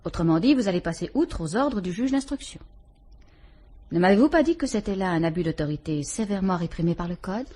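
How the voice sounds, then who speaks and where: A young actress with a French accent playing a composed murder suspect who answers guardedly and with faint mockery in a vintage radio drama. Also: female voice, on the low side, expressive, brisk, dark tone. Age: young adult. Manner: cool, guarded, faintly mocking.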